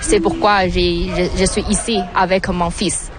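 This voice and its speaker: A woman from China, a native Mandarin speaker with a Chinese accent, giving halting, simply phrased interview answers as a French learner. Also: lowish voice, little pitch movement, over music. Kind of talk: casual interview.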